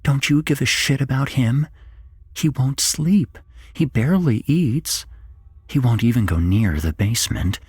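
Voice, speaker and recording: A man playing a distraught, protective mother in a whispered horror story. The voice is lifted in pitch but very breathy and rough, pleading for her child. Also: lively intonation, unhurried, darkish tone, dry recording, clean studio sound. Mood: upset, indignant. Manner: pleading, indignant. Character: upset, protective mother, adult woman, pleading for her son.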